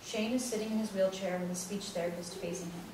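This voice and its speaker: A woman's voice: mid-range voice, steady tone, fast. Woman narrator in a very echoey room. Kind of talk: scripted narration of stage directions. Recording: very echoey room, distant mic, noisy.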